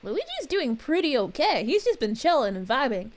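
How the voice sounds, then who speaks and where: A woman's voice: high voice, big pitch swings, moderate pace. A woman streaming as a cheerful princess character, greeting her chat in a bubbly, giggly, sing-song voice.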